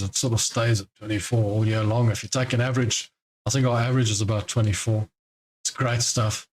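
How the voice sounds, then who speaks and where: A man's voice: medium pitch, darkish tone, low-quality recording. Chatty trading-stream host, man. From born in Scotland, grew up in South Africa. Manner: chatty, rambling, laughs often. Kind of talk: casual live-stream chat. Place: home in Cape Town.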